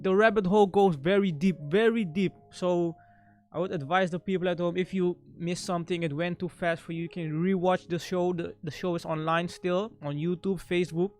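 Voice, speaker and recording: A man hosting a show and signing off unscripted, halting as he searches for his words. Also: high-pitched, darkish tone. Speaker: show host.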